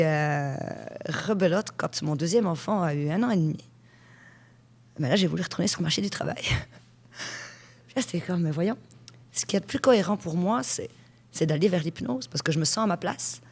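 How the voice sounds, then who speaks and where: A woman in her forties, originally from Switzerland, chatting frankly as an interview guest about her working life. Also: on the low side. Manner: chatty storyteller, frank and lively. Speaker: guest, hypnotherapist. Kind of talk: conversational interview.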